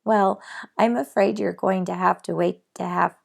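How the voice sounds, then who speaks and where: A woman voicing a gentle, busy father who speaks mildly and a little hurriedly. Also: mid-range voice, fairly even intonation, moderate pace, gravelly.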